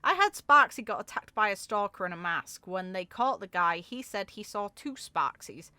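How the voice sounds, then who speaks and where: A woman with a bright, forward voice reads game lore aloud in a dry, close-sounding recording. Her delivery is expressive, and she slips into informal commentary as she goes. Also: medium pitch, normal speed, smooth, no echo. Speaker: video game content creator.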